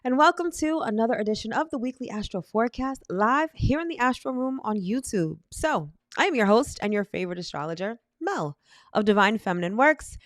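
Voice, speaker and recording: A woman's voice: on the high side, lively intonation, fairly quick, no echo. Woman host on a live stream, stuffy nose from a cold, chatty, keeps saying all right?, dry close mic. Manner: chatty, addresses viewers as "my loves", ends points with "all right?". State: nasal from a cold. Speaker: astrologer hosting a weekly live stream. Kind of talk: upbeat live-stream talk.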